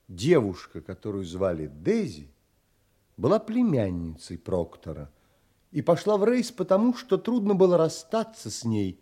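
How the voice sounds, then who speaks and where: An actor playing an earnest, educated castaway who narrates his sea adventure in an audio drama, deliberate, courteous and expressive. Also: a little high, husky, full character voice.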